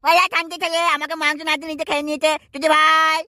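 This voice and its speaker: A woman's voice: sits high, flat delivery, racing, bright, forceful tone, no echo, studio quality. A woman voicing a whiny little boy in a cartoon who tattles and wails about his brother. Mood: whining. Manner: whining, wailing complaints.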